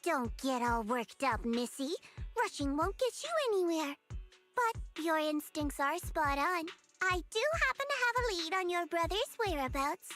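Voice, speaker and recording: A woman's voice: high voice, sing-song, measured pace, fairly bright tone, faint music behind, full character voice. A woman voicing a teasing, self-assured young female agent in a video game, with a playful, smug, coaxing delivery.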